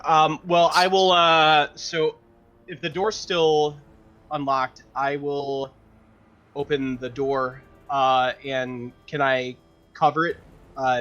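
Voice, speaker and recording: A man playing a tabletop game, thinking aloud haltingly in casual chat, on slightly lo-fi audio with music behind. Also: medium pitch, a bit bright. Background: background music.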